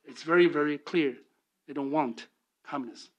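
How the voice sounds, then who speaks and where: A man from Taiwan, giving halting remarks full of fillers and restarts as a speaker at a policy forum. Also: fairly high pitch. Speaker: panel speaker.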